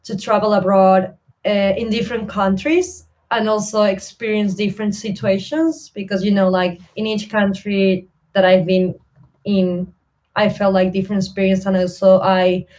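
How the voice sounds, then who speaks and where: A woman's voice: mid-range voice, level tone, moderate pace, a little mellow, poor audio. A woman from Argentina with an Argentine Spanish accent, chatting in a friendly, rambling way as a podcast guest. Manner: friendly, rambling, filler-heavy. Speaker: interview guest, service designer. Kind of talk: casual interview chat.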